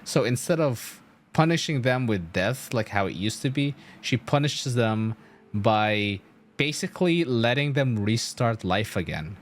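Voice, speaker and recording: A young man with a fairly low voice chats on a game stream. He explains story in a loose, rambling way, stressing his points and swearing casually.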